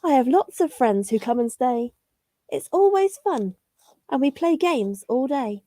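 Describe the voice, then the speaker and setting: A woman's voice: high voice, sing-song, a little slow, warm tone, dry recording. A woman voicing a friendly, hospitable male caterpillar in a children's storybook, sing-song and inviting.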